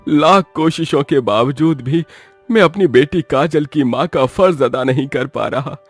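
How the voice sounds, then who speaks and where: A man's voice: a little high, unhurried, no room sound, faint music behind, voice pushed up. A man voicing a worried, middle-aged widowed father in a cartoon story, earnest and troubled. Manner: earnest, troubled, speaking firmly.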